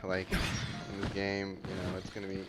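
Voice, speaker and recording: A man's voice: low-pitched, completely flat delivery, moderate pace, heavy background noise. A man giving casual, offhand gameplay commentary, often muttering to himself and teasing as he goes. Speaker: gaming content creator. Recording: game music behind the voice.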